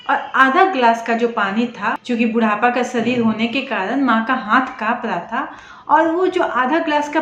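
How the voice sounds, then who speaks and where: A woman's voice: fairly high pitch, fairly bright tone. A woman telling an emotional moral story, speaking directly to her listeners as a storyteller. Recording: echoey room, dull sound, faint noise.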